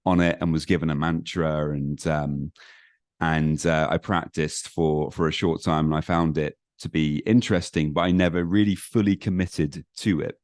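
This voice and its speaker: A man hosting a conversational podcast interview, speaking haltingly and circling back over his words. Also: extremely low pitch, fairly even intonation, darkish tone, dry recording, studio quality. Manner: halting, rambling with repeated words. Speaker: podcast host.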